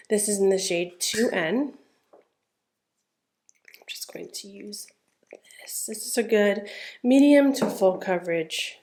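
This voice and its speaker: A woman's voice: medium pitch, creaky. A young woman with a stuffed-up nose who chats casually through a makeup tutorial, narrating every step with lots of "like" and "um". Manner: chatty, relaxed, narrating each step with fillers. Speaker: beauty vlogger.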